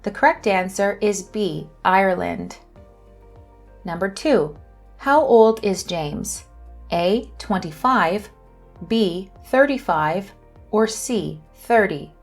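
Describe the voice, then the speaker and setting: A woman hosting an English lesson, reading questions and answers slowly and carefully. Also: mid-range voice, animated, faint music behind. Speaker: English teacher and channel host. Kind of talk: scripted lesson narration.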